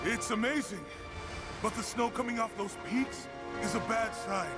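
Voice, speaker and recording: An actor playing a loyal, protective climbing companion in a video game, concerned and encouraging. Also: male voice, high voice, moderate pace, loud music behind the voice. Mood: warm, wry.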